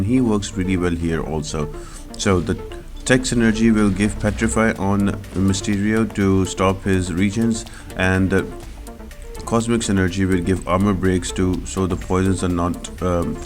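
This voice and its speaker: A man with a low-pitched voice narrates a gaming walkthrough over prominent music. He explains things step by step, in the instructional way of a video host talking viewers through strategy. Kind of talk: game walkthrough commentary. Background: loud music behind the voice.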